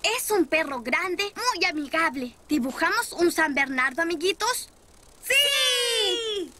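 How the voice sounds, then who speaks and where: A woman's voice: very high voice, sing-song, slow pace, bright, forceful tone, full character voice. A woman voicing a cheerful, helpful young rabbit boy who encourages and explains in a children's cartoon. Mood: encouraging. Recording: music behind the voice.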